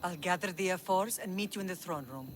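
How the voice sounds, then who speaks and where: A woman's voice: lowish voice, fast talker, soft background music, close to an everyday voice. An actress playing a proud, steely, middle-aged Spartan mother in a video game. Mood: proud, solemn. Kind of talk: video game dramatic acting.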